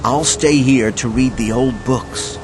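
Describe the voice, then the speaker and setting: A man's voice: medium pitch, slow, background noise, natural voice. A man playing a scholarly adept who can turn fierce, in a video game. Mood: calm, wise. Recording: loud music behind the voice.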